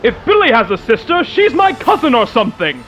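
A man's voice: extremely high pitch, wildly swooping pitch, a little fast, a bit bright, soft background music, full character voice. A man playing an eager, plucky teenage newsboy sidekick in an amateur comic-book radio play. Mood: curious.

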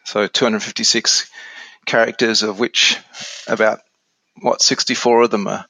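An Australian man in his forties explaining things casually as an interview guest on software. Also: lowish voice, flat delivery, moderate pace, gravelly, no room sound, low-quality recording. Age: 40s.

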